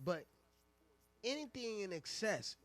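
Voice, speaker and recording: A man hosts a loose, conversational radio show in a high, bright voice. He talks in slang and leans on his points, often saying a phrase again for emphasis. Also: very animated, slow. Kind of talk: casual talk-show conversation.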